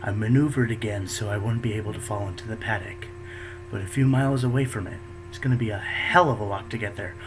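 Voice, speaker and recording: A man with a fairly low voice reads his own story aloud in a plain, steady way. His voice jumps higher when he speaks the quoted thoughts. A faint hum of room ambience sits behind him. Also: fast. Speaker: fanfiction reader.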